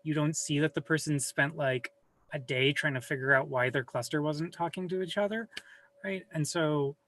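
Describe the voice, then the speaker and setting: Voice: medium pitch, normal speed, a bit bright. A guest on a video call chatting casually, rambling and self-deprecating, with casual swearing, recorded at home. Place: home room with a server rack.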